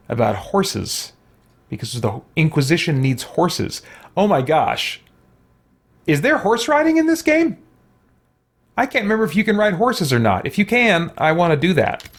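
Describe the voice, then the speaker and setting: Animated man doing game commentary. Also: on the high side. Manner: thinks aloud, exclaiming. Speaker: gaming channel host. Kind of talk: casual let's play commentary.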